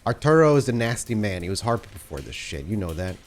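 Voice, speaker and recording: A man's voice: fairly low pitch, sing-song, quick pace, slight background noise. Man doing a blunt, crude street tough. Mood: grudging, emphatic. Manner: blunt, sweary, emphatic. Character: Grant, crude, grudging, street-tough male underling. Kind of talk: improvised tabletop roleplay.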